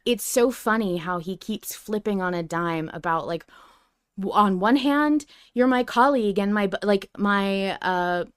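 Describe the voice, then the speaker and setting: A woman's voice: mid-range voice, unhurried, clear, resonant tone, no echo, clean studio sound. A woman podcast co-host chatting casually and analytically as a fan, in an effusive, emphatic way.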